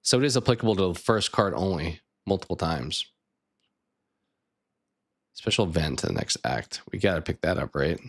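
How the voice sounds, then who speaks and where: A man streaming a card game live, thinking aloud and chatting with viewers, his voice a bit raspy. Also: low-pitched, animated, normal speed, pauses often. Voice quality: slightly raspy. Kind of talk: casual live gameplay chat.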